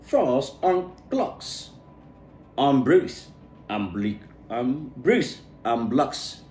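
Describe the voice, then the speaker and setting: A man from Nigeria with an Igbo accent, a native Igbo speaker, reads a tongue-twister storybook aloud, haltingly, stumbling over and repeating words. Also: mid-range voice, sing-song, a little mellow. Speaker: English pronunciation learner. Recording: echoey room, music behind.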